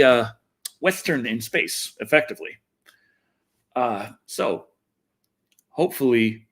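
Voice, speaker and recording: American male livestream host, very lively pitch swings, rambling, self-deprecating, casual swearing. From Texas, United States. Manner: self-deprecating, swears freely, thinks aloud. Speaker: livestream host and video reviewer. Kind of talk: casual rambling livestream chat answering viewers.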